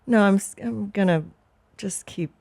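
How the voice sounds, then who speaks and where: Middle-aged female podcast co-host, medium pitch, informal and frank, relaxed conversational delivery. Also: unhurried, gravelly. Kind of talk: candid casual couple chat.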